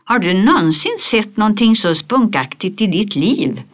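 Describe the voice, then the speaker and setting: A woman's voice: mid-range voice, sing-song, pitched above the performer's own voice. Woman doing a bold, chatty storybook girl. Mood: eager. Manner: eager, chatty, self-assured. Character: bold cheerful girl, red-haired, full of tall tales.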